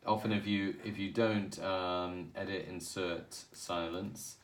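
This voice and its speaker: A man giving a casual, hands-on audio software tutorial, explaining informally step by step as he works. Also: low-pitched, fairly even intonation, slow.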